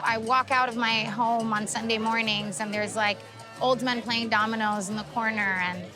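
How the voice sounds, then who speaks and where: A woman's voice: on the high side, fairly even intonation, normal speed. A woman from New York sharing personal memories and leading a bachata dance lesson. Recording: clear music in background.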